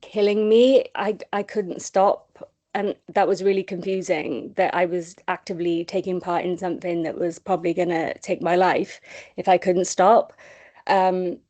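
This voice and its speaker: Nervous Welsh woman, low voice. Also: little pitch movement, normal speed, dark tone. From Wales. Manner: hesitant, many ums, candid. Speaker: charity comms and admin officer. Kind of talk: nervous personal recovery story on a webinar. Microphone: video call.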